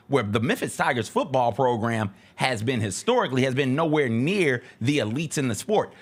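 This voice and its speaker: A man's voice: medium pitch, fairly bright tone. A man on a sports talk show debating off the cuff, making emphatic, step-by-step points and repeating phrases for weight.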